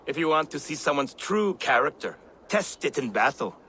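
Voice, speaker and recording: A man's voice: a little high, very animated, normal speed, bright, forceful tone, slight background noise. An actor playing an older, friendly male Viking raid planner in a video game cutscene, easygoing and persuasive.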